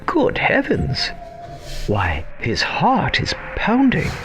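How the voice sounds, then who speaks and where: A man's voice: sits high, wildly swooping pitch, in a whisper, music in the background, full character voice. An actor playing a theatrical, grand yet intimate storybook narrator, dramatic and drawing out his words in an audio drama. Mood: confiding. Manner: dramatic, drawn-out words, emphatic swoops.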